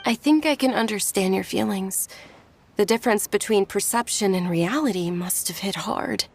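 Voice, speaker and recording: An actress playing a gentle, caring young woman who speaks softly and politely. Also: mid-range voice, moderate pace, slightly rough. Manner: soft, concerned, polite. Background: faint game music.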